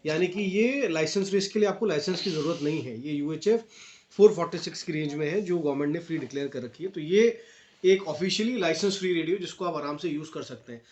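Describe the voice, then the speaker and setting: A man's voice: on the high side, fast talker, dull audio. An Indian man presenting a casual unboxing review, explaining features to viewers in a friendly-address style with English terms mixed in. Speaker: video presenter and product reviewer. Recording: echoey room with steady noise and clicks.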